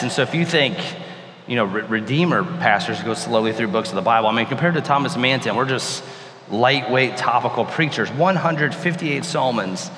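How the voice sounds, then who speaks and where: Hoarse pastor preaching in a hall. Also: male voice, mid-range voice, a bit bright. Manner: conversational, with restarts. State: hoarse, lost voice. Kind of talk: sermon. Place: hot church sanctuary. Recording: roomy hall with faint noise.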